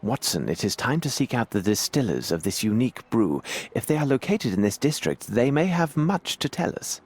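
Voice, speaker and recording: An actor playing a self-assured, inquisitive British gentleman detective in a video game, with formal, precise speech. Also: mid-range voice, very animated, moderate pace, slightly rough. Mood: confident.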